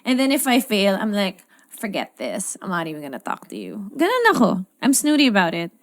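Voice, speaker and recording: A woman in her thirties with a Filipino accent hosts a relaxed chat. She talks playfully and moves between English and Tagalog. Her voice sits at an ordinary middle pitch, and the recording is close and dry. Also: brisk, no echo. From Philippines. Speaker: podcast host. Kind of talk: casual chat.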